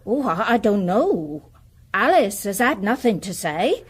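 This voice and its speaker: An actress playing a middle-aged working-class former housekeeper in a period radio drama, guarded, inquisitive and plain-spoken. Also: female voice, mid-range voice, sing-song, measured pace, breathy edge.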